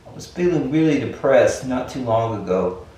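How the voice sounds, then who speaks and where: A man's voice: mid-range voice, animated, moderate pace, dark tone. A man reading story game dialogue aloud, with casual asides to his fellow reader. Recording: very echoey room, far from mic.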